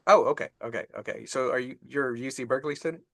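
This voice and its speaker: A man moderating a science webinar over a video call, upbeat and quick to affirm. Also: medium pitch, gravelly, a crowd in the background.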